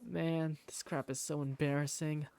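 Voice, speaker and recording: A man's voice: a little high, flat delivery, measured pace, a little mellow, clean tone, no room sound. A man playing a sleepy, easily embarrassed teenage schoolboy who narrates a visual novel in the first person. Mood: embarrassed, weary.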